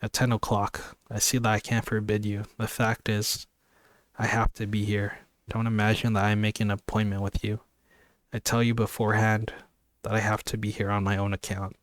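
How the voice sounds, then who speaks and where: A man reading the lines of a reserved, gentle young woman from a classic novel, in his own flat voice. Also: fairly low pitch, moderate pace, dry recording, close to an everyday voice. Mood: guarded.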